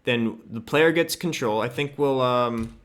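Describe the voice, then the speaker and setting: A man's voice: mid-range voice, a little slow, fairly bright tone. Casual man talking through a screen tutorial. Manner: informal, loose and offhand, occasional swearing. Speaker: host of a game modding tutorial. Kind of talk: casual screen-capture tutorial, thinking aloud while working.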